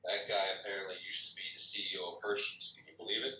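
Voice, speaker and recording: Man playing a dry, low-voiced handler, distant mic. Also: level tone, quick pace, pushed and bright. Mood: dry, sardonic. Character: sardonic male handler coaching over an earpiece. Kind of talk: screenplay table read. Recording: distant mic, echoing room.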